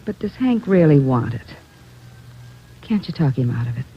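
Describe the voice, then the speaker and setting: A woman's voice: sits low, big pitch swings, moderate pace, slightly rough, exaggerated voice. An American woman playing an anxious, earnest young wife and mother in a 1940s radio drama. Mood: worried, pleading. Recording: dull old radio recording.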